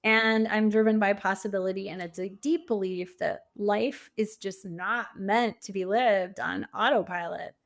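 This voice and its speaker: A middle-aged woman speaks as an interview guest in a medium-pitched voice. Her answers are conversational and reflective, with an earnest tone and emphasis on the words that matter to her. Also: fairly even intonation, measured pace, hardly any pauses. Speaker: podcast guest, coach and author.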